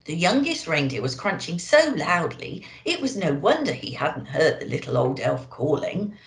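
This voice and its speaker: A woman speaking as a children's storyteller, expressive and deliberate, as if to young listeners. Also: low voice. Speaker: storyteller in character as a Christmas elf. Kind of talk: children's storybook reading and festive address. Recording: echoey room.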